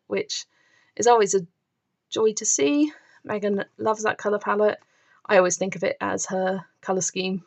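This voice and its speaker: A woman's voice: medium pitch, vocal fry. A woman hosting a colouring channel, chatting enthusiastically through her finished pages while filming the books from above at a table, her voice starting to crack. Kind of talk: casual show-and-tell narration.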